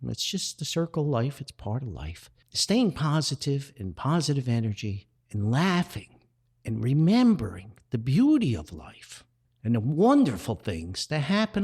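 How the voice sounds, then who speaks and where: Older man with very animated pitch swings. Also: husky. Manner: halting, repeats words and backtracks. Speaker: podcast host and author. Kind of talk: rambling personal reflective podcast monologue.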